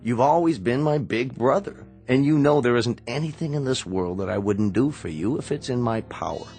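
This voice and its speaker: An exasperated younger brother, a physician in a radio drama. He speaks at a medium male pitch, emphatic and sardonic, with every point articulated. Music runs underneath. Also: lively intonation, normal speed, a little mellow, breathy edge. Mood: weary, firm.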